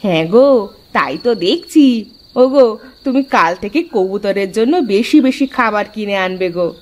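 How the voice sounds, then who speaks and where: A woman playing a spirited, expressive village wife in a cartoon story. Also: mid-range voice, measured pace, close to an everyday voice. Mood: caring. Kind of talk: Bengali cartoon story voice acting.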